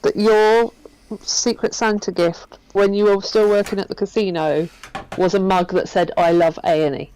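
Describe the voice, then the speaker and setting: A woman's voice: medium pitch, steady tone, darkish tone, slight background noise, rough recording. Easygoing woman player. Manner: easygoing, stumbling, quick to laugh along. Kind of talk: casual chat between game sessions.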